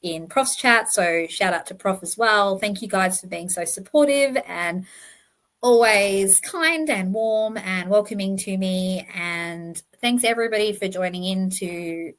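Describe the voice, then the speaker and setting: A woman's voice: mid-range voice, a little slow, a bit bright. A woman with a slight lisp hosting a true crime commentary channel in an earnest, informal explanatory monologue.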